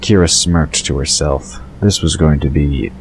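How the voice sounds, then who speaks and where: A man's voice: rumbling low, a little slow, slight background noise. A man reading scripted narration for an audio drama.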